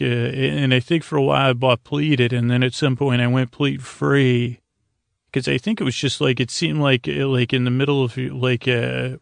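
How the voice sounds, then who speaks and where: A man hosts a sleep podcast in a gravelly, creaking voice that barely moves in pitch. He meanders through his monologue haltingly, in a lulling, soothing manner. The sound is dry and close, with no room to it. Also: no room sound. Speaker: host of a bedtime podcast. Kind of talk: rambling, meandering monologue for falling asleep.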